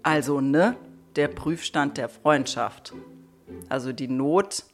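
A man's voice: on the high side, slow, soft background music. A man reading through a script informally and explaining it as if thinking aloud. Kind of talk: informal rehearsal read-through.

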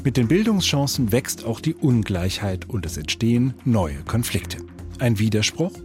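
A male radio host reading a scripted introduction, then interviewing a guest in measured tones. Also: mid-range voice, very animated, a little mellow, faint music behind. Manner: measured, sentence prompts.

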